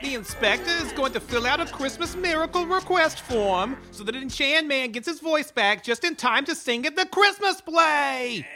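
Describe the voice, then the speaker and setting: A woman's voice: high-pitched, lively intonation, normal speed, few pauses, bright, forceful tone, soft background music, heavily acted. A woman playing a chatty, melodramatic widow of a Halloween town in an audio comedy, with a gushing, dramatic manner. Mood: assured.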